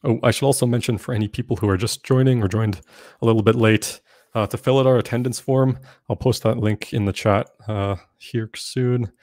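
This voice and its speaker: A young man giving a casual online workshop lesson, relaxed and full of filler words, speaking into a desk mic in a small room. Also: mid-range voice, fairly quick, no room sound, clean studio sound. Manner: relaxed, filler-heavy explaining with frequent "um". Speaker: workshop presenter. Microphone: desk mic on stand, webcam.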